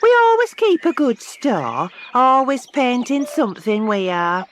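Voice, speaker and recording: A man's voice: very high voice, very animated, unhurried, fairly bright tone, a little hiss or hum, pitched above the performer's own voice, heavily acted. A man voicing a chatty, practical farm woman in a storybook reading, in sing-song phrasing.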